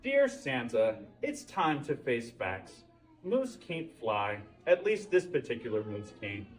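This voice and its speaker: Man as storybook moose: earnest, chatty, awkwardly hopeful, lively intonation, heard from a distance. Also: mid-range voice, takes it slowly, faint music behind. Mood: apologetic, resigned. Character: earnest, eager male moose hoping to be a reindeer.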